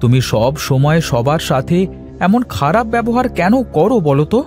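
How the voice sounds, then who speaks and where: A man's voice: fairly high pitch, very animated, normal speed, a little mellow, soft background music. A man voicing an exasperated, generous young village husband in a fairy-tale cartoon, scolding and pleading. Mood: reproachful.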